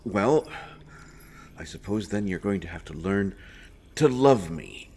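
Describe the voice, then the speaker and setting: A man playing an earnest, protective royal interrogator secretly helping a prisoner in a fantasy audio roleplay, speaking haltingly and with urgency. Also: low-pitched, expressive, a little mellow, a little hiss or hum. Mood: defensive, wry. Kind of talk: dramatic fantasy audio roleplay addressed to the listener.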